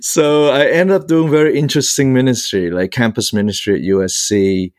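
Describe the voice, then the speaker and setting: A man's voice: mid-range voice, darkish tone, dry recording, studio quality. A man in his sixties from Hong Kong tells his personal story as an interview guest, hesitating often as he searches for words. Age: 60s. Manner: halting, frequent long hesitations and repeated fillers while searching for words. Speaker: guest, priest and author.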